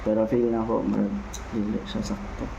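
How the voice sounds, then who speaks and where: A Cebuano-accented Filipino man talks casually to his vlog viewers. His voice is creaky and gravelly, and he thinks aloud in short phrases, checking in with little questions. The recording has clear background noise. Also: lowish voice, completely flat delivery, brisk, lo-fi sound. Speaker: motovlogger, host. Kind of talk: casual unboxing and product feedback vlog chat.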